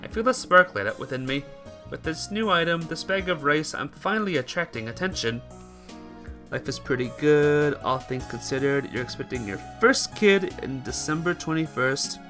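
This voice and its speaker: A man live-streaming a visual novel, reading the text aloud and breaking off for casual, halting asides to his viewers. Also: a little high, expressive, sharp tone. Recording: music behind the voice.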